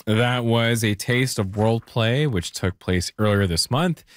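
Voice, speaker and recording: A male radio host reading scripted links in a smooth presenter delivery. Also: medium pitch, unhurried.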